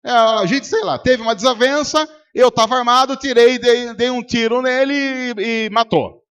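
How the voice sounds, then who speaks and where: A Brazilian pastor delivers a sermon in a noticeably high voice. He argues rhetorically, keeps quizzing the congregation and acts out both sides of a debate. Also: fairly even intonation, moderate pace, fairly bright tone, smooth. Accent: Brazilian Portuguese. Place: church service.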